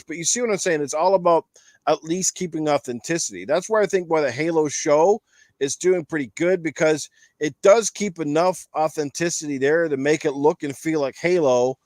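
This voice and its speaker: A man's voice: fairly high pitch, dry recording. A man hosting a casual panel chat, giving rambling opinions with emphatic repetition. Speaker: podcast panel host. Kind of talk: casual opinion chat about games and films.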